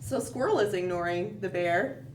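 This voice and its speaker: A woman hosting a children's storytime, reading a picture book aloud expressively, with dramatic pauses and questioning asides to young listeners. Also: lowish voice. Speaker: children's library storytime host. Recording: roomy, distant mic, faint background noise.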